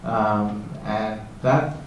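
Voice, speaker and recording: A man's voice: on the low side, fairly even intonation, drawn-out words, muffled recording. Mid-voiced male panelist, chatty explainer, recorded far off in a cavernous room. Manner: explanatory, loose and conversational. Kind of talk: panel discussion. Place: conference hall. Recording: echoey hall from far off, faint noise.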